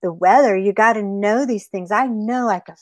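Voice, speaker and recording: A woman's voice: medium pitch, moderate pace, a little mellow, dry recording, close to an everyday voice. A woman voicing a sly male trickster rabbit in a folktale, coaxing and falsely innocent. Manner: coaxing, wheedling reassurance. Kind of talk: oral folktale storytelling.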